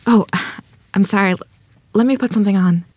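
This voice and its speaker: A woman acting a gentle, sincere young rural bride from the 1920s at a screenplay table read, soft-spoken and measured. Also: mid-range voice, husky, no echo, close to an everyday voice. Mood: flustered.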